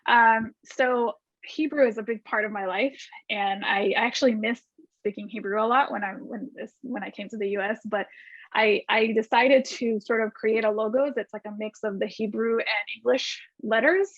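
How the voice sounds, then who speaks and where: A woman's voice: on the high side, fairly even intonation, normal speed, no echo. A woman from Argentina, a guest designer, answering student questions in a chatty way with many restarts and fillers. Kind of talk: casual video call Q&A. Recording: dull lo-fi call audio.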